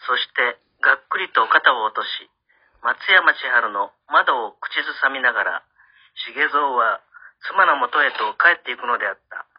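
A man with a husky voice narrating an amateur radio drama as a storyteller. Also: medium pitch, animated, normal speed. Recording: muffled, lo-fi.

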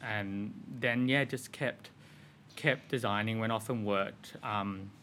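An Australian man in his thirties talks through his answers in a bright, lower-pitched voice. He rambles loosely, leaning on filler words, in relaxed interview chat. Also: slow pace, a little hiss or hum. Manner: rambling, filler-heavy with frequent "like" and "um". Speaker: fashion designer guest.

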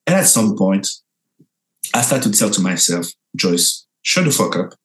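A young Frenchman speaking English with a clear French accent, telling his own story in an interview. His voice sits on the lower side. He talks in an animated way, jumping up in pitch and stumbling into restarts as he goes. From France. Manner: animated, lively pitch jumps, halting restarts.

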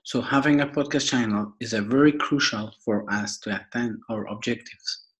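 A young man from Afghanistan with an Afghan accent, giving a prepared podcast introduction in a formal, halting way, often repeating and rephrasing. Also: mid-range voice, fairly bright tone. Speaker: podcast host and foundation worker.

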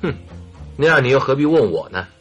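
An earnest, slightly huffy companion to a detective in a radio play. His medium-pitched voice rises and falls freely and expressively. Soft music plays behind him. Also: sing-song, brisk. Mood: miffed. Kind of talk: Mandarin radio drama acting.

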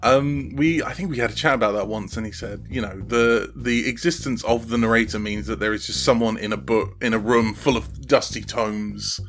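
A man's voice: fairly low pitch, steady tone, moderate pace, hardly any pauses, fairly bright tone, soft background music. Man thinking aloud in casual interview. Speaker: interview guest, audio drama creator.

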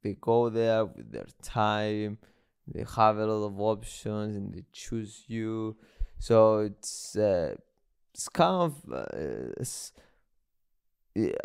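A man hosting a casual interview, a non-native English speaker who feels for his words haltingly. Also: lowish voice, creaky, a little mellow, clear, resonant tone. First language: not English. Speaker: interviewer, host.

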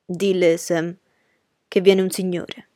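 Genial colonel, read by a female narrator: courteous, decisive, storybook gentleman, dry close sound. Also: lowish voice, flat delivery, slow pace, no echo. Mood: calm.

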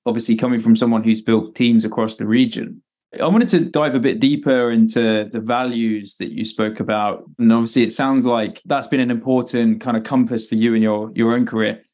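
A man hosting a podcast who interviews his guest with eager, affirming remarks before each question. His voice sits at an ordinary middle pitch. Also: steady tone, fairly quick, a little mellow. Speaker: podcast host.

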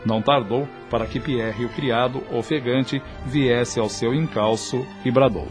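A man's voice: mid-range voice, steady tone, measured pace, music in the background. A man with a Brazilian accent reading scripted narration for a radio drama.

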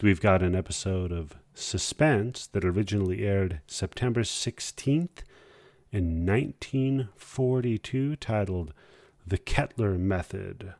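A man's voice: fairly low pitch, darkish tone, no room sound, clean studio sound. A man casually introducing programs as host of an old-time radio show.

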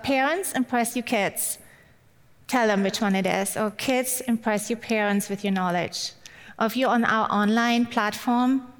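German-accented woman preaching in long reverb. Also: on the high side. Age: middle-aged. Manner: personal, lightly humorous. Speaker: guest speaker at church. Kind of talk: sermon.

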